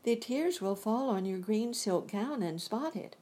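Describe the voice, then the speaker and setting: A woman's voice: medium pitch, animated, unhurried, dark tone, pitched above the performer's own voice, lightly acted. A woman voicing a kind but fussy young palace maid in a storybook reading, in a cautioning voice.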